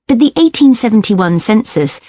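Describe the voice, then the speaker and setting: Keen female student, agreeable, lively intonation, scripted discussion, dry studio sound. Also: a little high, normal speed, dry recording. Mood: engaged. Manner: engaged, agreeable.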